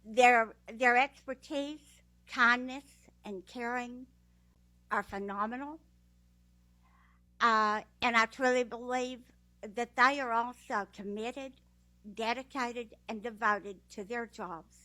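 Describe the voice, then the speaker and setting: A woman with a Texas accent giving a slow, heartfelt graduation speech with fillers. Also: a little high, fairly even intonation, bright, forceful tone, low-quality recording. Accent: Texan. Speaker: guide dog graduate. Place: ceremony room.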